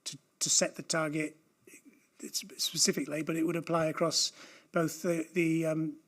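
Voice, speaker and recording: A man's voice: on the high side, little pitch movement, moderate pace. A man leading a formal hearing, replying politely and carefully with some hesitation.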